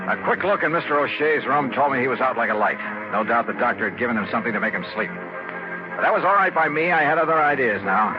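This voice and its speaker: An actor playing a brisk, wry investigator. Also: male voice, mid-range voice, animated, highs cut off, music in the background, close to an everyday voice. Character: hard-boiled male insurance investigator narrator. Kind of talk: 1950s radio acting. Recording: old radio broadcast with hiss.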